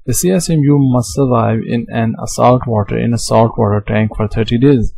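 Gravelly low-voiced male lecturer. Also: warm tone, dry recording, poor audio. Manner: halting, frequent restarts and fillers. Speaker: aviation instructor. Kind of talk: slide lecture. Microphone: voice-over on slides.

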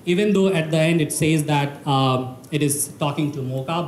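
Guy in his 30s giving a tech meetup talk, kinda high voice, echoey room, faint hiss. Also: a little mellow. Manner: explanatory, measured, with fillers. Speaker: conference speaker. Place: meeting room with audience. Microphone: handheld mic. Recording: roomy hall with faint noise.

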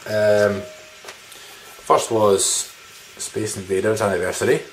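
A man in his thirties with a fairly low voice talks casually to a camera in a small room. He rambles informally and swears a little, and there is faint background noise. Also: steady tone, slow pace, low-quality recording. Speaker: video game collector vlogger. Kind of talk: casual chat showing game pickups to camera. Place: small living room indoors.

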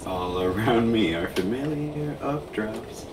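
Low-voiced man, distant in an echoey room. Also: expressive, slow, background noise. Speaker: gaming video co-host playing the game. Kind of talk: casual joking banter while playing a video game.

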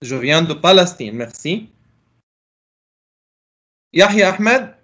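A man's voice: sits high, lively intonation, takes it slowly, pushed and bright. A middle-aged man teaching a French class over a video call, calling on students and patiently correcting their answers. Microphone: laptop mic on a video call.

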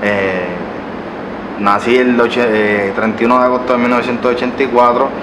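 A man's voice: medium pitch, level tone, normal speed. A man in his thirties from Puerto Rico with a Puerto Rican Spanish accent, a barber telling his story as an interview guest, with many hesitations and restarts. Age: 30s. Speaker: barber and business owner, guest. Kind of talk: relaxed storytelling interview answers. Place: living-room style studio set. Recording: roomy sound from a distant mic with background noise.

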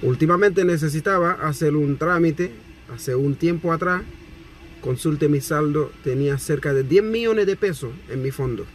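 A man in his thirties from Haiti, talking indignantly to a phone camera from a parked car, animated and repetitive. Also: on the high side, moderate pace. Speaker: vlogger. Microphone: phone camera at arm's length.

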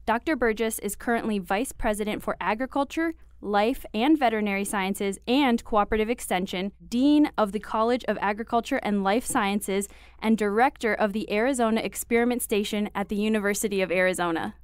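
Smooth-voiced woman podcast co-host. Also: medium pitch, a little fast, few pauses, no echo, clean studio sound. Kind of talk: reading a guest bio, then interviewing.

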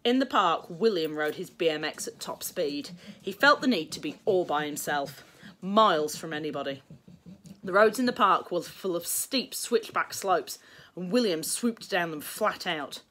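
A middle-aged English woman reading a children's story aloud in expressive narration. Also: fairly low pitch, moderate pace. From England. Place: small book-lined room indoors.

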